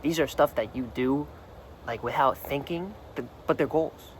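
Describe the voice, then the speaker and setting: Young man, slightly hoarse, casual vlog, rambling and earnest, faint traffic behind, outdoors. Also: mid-range voice, normal speed. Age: young adult. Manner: rambling, earnest, repeats words, many "like"s. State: slightly hoarse from singing the night before. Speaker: vlogger. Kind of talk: casual talk to camera. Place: outdoors, hot and sunny.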